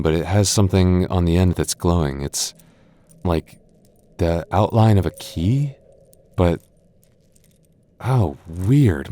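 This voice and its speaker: A man's voice: deep voice, dark tone. A man voicing a playful, teasing young goth boyfriend in an ASMR roleplay, often stammering and chuckling. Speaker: voice actor.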